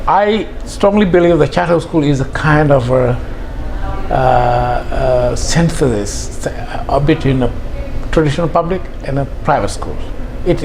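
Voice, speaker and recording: A middle-aged man from Senegal, a school head, answering interview questions in a measured, hesitant way. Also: medium pitch, darkish tone, slight background noise, low-quality recording. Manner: measured, thoughtful, with frequent hesitations.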